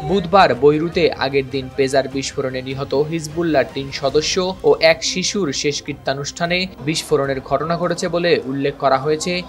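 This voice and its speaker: A man with a Bangladeshi accent reads a scripted news report in a formal, measured manner. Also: fairly high pitch, steady tone. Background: background music.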